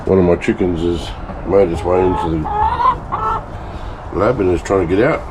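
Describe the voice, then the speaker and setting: A man with a hoarse, failing voice from a bad cough, casually talking viewers through his home refining work in a chatty, rambling way. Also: low-pitched, normal speed, background noise. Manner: chatty, rambling, self-mocking asides. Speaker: hobbyist gold refiner and video maker. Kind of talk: casual talk-through of a lab process.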